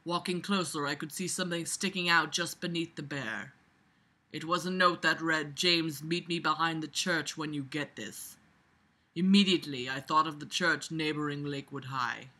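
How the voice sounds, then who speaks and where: A strikingly bright, forceful voice at a middling pitch reads a novel aloud and keeps interrupting it with commentary. The delivery is casual, blunt and sarcastic, full of exasperation and swearing. It moves between muttered asides and loud, emphatic outbursts. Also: normal speed. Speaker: reader reviewing a book aloud.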